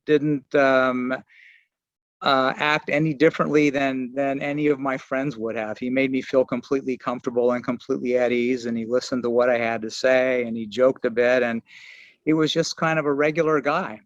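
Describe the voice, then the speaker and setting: A man in his sixties giving memorial remarks over a remote video call, halting and often restarting his sentences. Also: mid-range voice, dry recording. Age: 60s. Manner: halting, searching for words with many restarts. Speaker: archaeology and geography professor giving a memorial tribute. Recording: dull lo-fi call audio.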